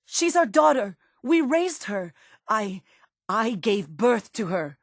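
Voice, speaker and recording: An actress playing a worried, protective middle-aged mother in a post-apocalyptic video game, speaking earnestly and emotionally, with halting phrases. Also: a little high, sing-song, dry recording, clean studio sound, full character voice. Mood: distraught, insistent. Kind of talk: dramatic video game voice acting.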